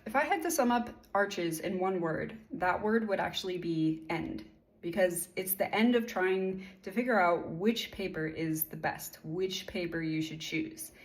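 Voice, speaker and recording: Friendly low-voiced American woman. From California. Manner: friendly, conversational, with occasional fillers. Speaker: artist. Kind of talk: casual sponsored testimonial.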